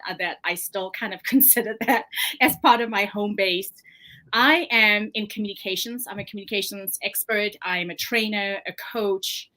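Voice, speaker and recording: A woman's voice: mid-range voice, bright, forceful tone. South African woman telling her story. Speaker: author guest. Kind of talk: casual interview chat.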